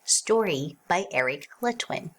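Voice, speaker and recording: A woman reading a rhyming children's storybook aloud as narrator, in an expressive sing-song way. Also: lowish voice, unhurried, slight background noise.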